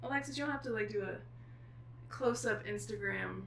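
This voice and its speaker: A woman's voice: mid-range voice, normal speed, gravelly, a bit bright, distant mic, slight background noise. A woman, a guest author, chatting casually in a bubbly way, recorded in an echoey room. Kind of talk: casual chat.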